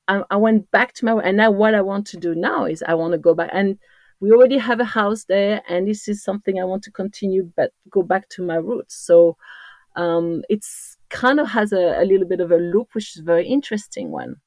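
A woman's voice: mid-range voice, expressive, fairly quick, a little mellow, no echo. A woman in her fifties from Corsica with a French accent, chatting as a podcast guest in a rambling way with many restarts. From Corsica, France. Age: 50s. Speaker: podcast guest, trail runner. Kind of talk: casual interview chat.

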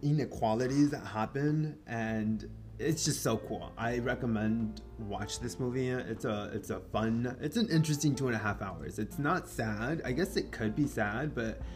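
A man's voice: medium pitch, moderate pace, few pauses, soft background music. A Mexican-born man whose first language is Spanish, hosting a podcast and chatting casually about films, rambling with many fillers and restarts and the odd swear word. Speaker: podcast host. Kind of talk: casual film review chat.

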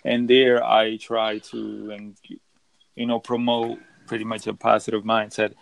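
A man's voice: on the low side, completely flat delivery, a little slow, creaky, low-quality recording. A young man from the Dominican Republic who hosts a podcast, chatting casually and rambling with many restarts. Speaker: podcast host. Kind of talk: casual chat on a call.

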